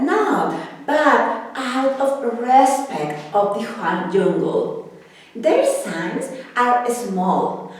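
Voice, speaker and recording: A woman from Mexico whose first language is Spanish, speaking English with a Mexican Spanish accent, giving a careful educational talk with the odd soft laugh. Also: on the high side. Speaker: independent researcher and dancer. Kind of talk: educational video lecture. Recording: very echoey room, distant from mic.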